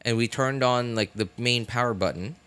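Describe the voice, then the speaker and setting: A man in his thirties chatting casually on a stream, telling a story in a halting, repetitive way. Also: mid-range voice, fairly bright tone, a little hiss or hum. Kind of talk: casual rambling livestream chat. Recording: game music and dialogue behind the voice. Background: video game music and character voices.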